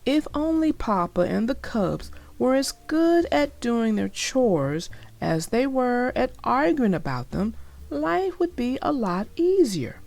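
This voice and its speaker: A woman's voice: on the high side, very animated, takes it slowly, gravelly, a little mellow. A woman narrating a children's storybook as a read-aloud for young listeners.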